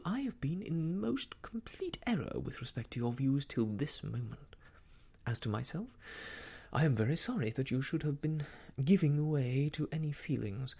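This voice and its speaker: A man voicing an indignant, breathy young gentlewoman. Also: medium pitch. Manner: formal, emphatic, reproving. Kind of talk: audiobook character dialogue from a classic novel.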